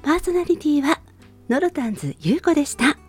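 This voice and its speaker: A woman hosting a radio show, making scripted announcements in a polite, clearly phrased way. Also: sits high, sing-song, a little fast, darkish tone, faint music behind.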